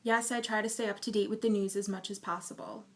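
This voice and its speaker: A woman in her early twenties answering interview questions in a measured, explanatory way. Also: mid-range voice, steady tone, crowd noise. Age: 20s. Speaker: survey respondent.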